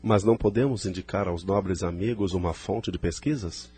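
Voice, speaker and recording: An actor plays a knowing male spirit researcher with a deep voice. He speaks gravely and emphatically in a Brazilian accent, audio drama style, with faint music behind. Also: normal speed, dark tone, natural voice. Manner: emphatic, formal.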